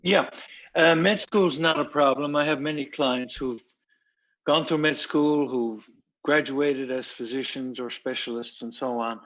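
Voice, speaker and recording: A man acting as a counselor, giving measured, instructive advice in plain sentences. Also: mid-range voice, a bit bright, dull audio. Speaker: counselor co-host.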